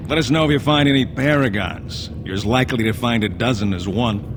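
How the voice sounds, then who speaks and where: An actor playing a world-weary, blunt and sardonic dwarf warrior commander in a video game. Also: male voice, brisk, lightly acted. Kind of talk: video game dialogue acting. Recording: game audio with background ambient rumble.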